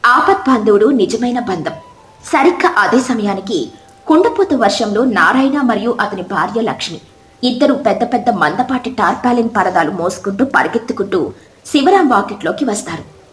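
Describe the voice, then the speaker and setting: A woman narrates a scripted moral tale in dramatic storytelling style. Her voice sits fairly high. The track has a roomy echo around it. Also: animated, normal speed, a little hiss or hum.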